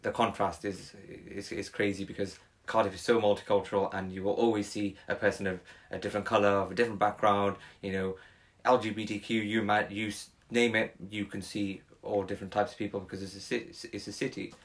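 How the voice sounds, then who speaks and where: A man's voice: lowish voice, level tone, brisk. A young man, talking casually as a podcast guest, rambling with many fillers and restarts. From Wales. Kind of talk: casual conversation.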